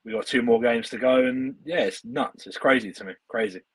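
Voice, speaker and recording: A man's voice: mid-range voice, a little fast, husky, no room sound, low-quality recording. A man guesting on a fan podcast, enthusiastic and repeating his points. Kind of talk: casual chat.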